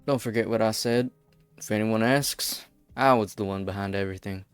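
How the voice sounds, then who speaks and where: A man's voice: on the low side, moderate pace, clear, resonant tone, no echo, soft background music, natural voice. A man playing a stubborn, self-sacrificing rebel leader with a blunt delivery in an English fan dub. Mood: defiant.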